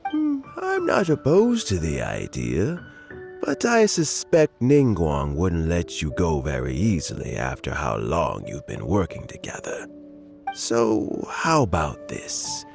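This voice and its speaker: An actor playing a frail elderly statesman in a video game, courteous and wry, with formal, measured phrasing. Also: deep voice, huge pitch swings, darkish tone, faint music behind, heavily acted. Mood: wry, considering. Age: older. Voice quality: creaky, aged voice. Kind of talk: scripted video game dialogue.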